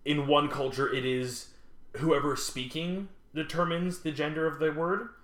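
Male podcast co-host, crisp bright tone, lively storyteller, roomy recording. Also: a little high, normal speed. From Montreal. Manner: animated storyteller, swears casually. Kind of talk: casual chat.

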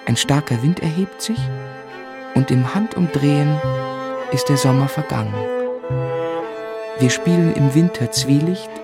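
A man's voice: mid-range voice, close to an everyday voice. An actor playing a grown man who recounts his childhood reflectively, as the narrator of a literary radio drama. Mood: nostalgic, reflective. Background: music.

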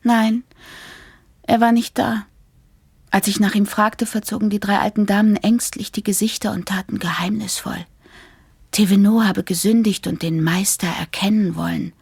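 A woman's voice: mid-range voice, fairly even intonation, moderate pace, creaky, a little mellow, breathy edge, no echo, clean studio sound. A woman playing a weary, frightened, affectionate young woman in an intimate radio play, half-whispering. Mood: confiding, uneasy.

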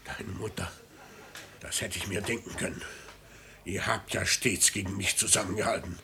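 A low-voiced ageing merchant father. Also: fairly even intonation, creaky, pushed and bright, breathy edge, exaggerated voice. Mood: bitter, defensive. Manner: measured persuasion turning to stern indignation. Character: ageing merchant father, lonely, calculating, easily offended. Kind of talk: 1950s German radio play acting.

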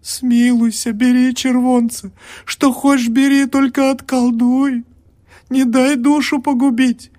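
A man reading an audiobook, voicing a feverish, frightened peasant woman who pleads in a shrill, agitated voice. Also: fairly even intonation, a little slow, darkish tone, close to an everyday voice. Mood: pleading, terrified.

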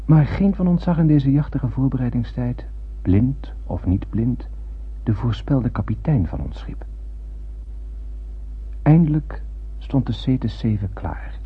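An actor playing an astronaut who narrates a solemn space tale in poetic, measured radio play style. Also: male voice, medium pitch, expressive, breathy edge, exaggerated voice. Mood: solemn, wondering. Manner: poetic, measured literary storytelling. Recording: muffled phone-like old radio recording, noisy.

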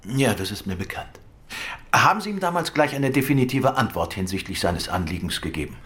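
An actor playing a composed, dryly witty gentleman detective in a German radio crime drama, polite and probing. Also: lowish voice, sing-song, brisk. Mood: probing, calm.

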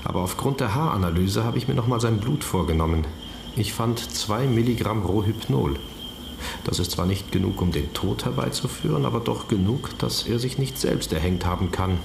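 An actor playing a dry, factual forensic examiner, explaining clinically in a radio crime drama. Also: male voice, on the low side, lively intonation, moderate pace, creaky, echoey room, background noise.

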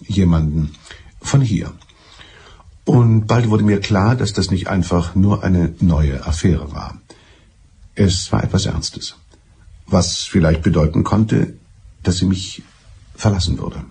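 Smug betrayed husband, radio play, low gravelly voice, mild and pedantic. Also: male voice, darkish tone, full character voice. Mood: resigned, earnest. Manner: deliberate, pedantic, circuitous. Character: mild, evasive betrayed husband confessing, smugly clever. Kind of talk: German radio drama acting.

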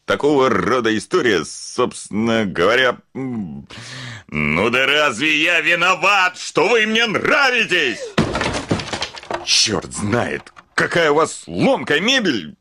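A blustering, wildly animated lovestruck gentleman. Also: mid-range voice, heavily acted. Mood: flustered, smitten. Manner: blustering, halting then bursting into exclamations. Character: blustering, lovestruck gentleman landowner, impulsive and hot-tempered. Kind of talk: theatrical Russian classic radio play acting. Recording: studio radio drama with loud music.

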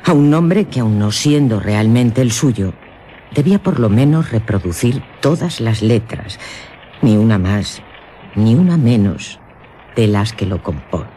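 Dark-voiced, low gothic storyteller, background noise. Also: male voice, lively intonation. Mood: earnest, emphatic. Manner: measured, emphatic, explanatory. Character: earnest narrator recounting a gothic vampire tale. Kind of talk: radio drama narration. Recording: clear background noise and ambience.

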